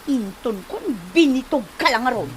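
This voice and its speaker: A woman's voice: sits high, lively intonation, normal speed, a little hiss or hum. A woman acting a vain, irritable woman in an Ilocano comedy drama, with an Ilocano accent and a wailing, snappish way of complaining. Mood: irritated, threatening. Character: vain, irritable woman hurt falling in high heels.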